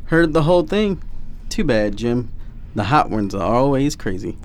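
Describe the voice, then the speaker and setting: A man's voice: medium pitch, very animated, normal speed, slight background noise, natural voice. A man playing a cynical, teasing veteran comrade in an audio drama, wry in manner.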